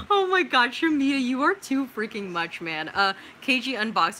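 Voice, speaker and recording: A young American woman with a bright, forceful voice at a medium pitch hosts a livestream. She reads out comments and reacts casually and expressively, with plenty of slang and some swearing. There is faint noise in the background. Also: low-quality recording. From California, United States. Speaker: livestream host.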